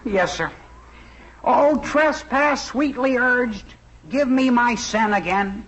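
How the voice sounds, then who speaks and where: Earnest, very high-voiced teenage boy. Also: slow, fairly bright tone, dull audio, full character voice. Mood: polite, eager. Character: earnest, polite, eager teenage boy actor. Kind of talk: 1950s radio sitcom acting.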